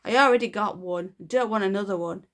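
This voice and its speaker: A spoiled, bratty little girl snaps and sneers in a loud, blunt voice, as a woman reader puts her on. Her pitch swings about as she drags her words out with scorn, straight from a storybook. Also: big pitch swings, takes it slowly, full character voice. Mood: rude. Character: bratty rude young girl.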